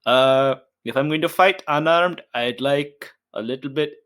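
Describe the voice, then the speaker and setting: A man's voice: medium pitch, a little slow, a bit bright. A man playing a tabletop game, slipping casually between chat and in-character lines in a playful, informal way.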